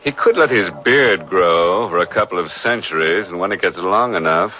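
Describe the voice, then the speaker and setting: A man's voice: sits low, normal speed. A man hosting a 1940s radio mystery show with wry, macabre, punning humour. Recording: muffled vintage radio, faint music.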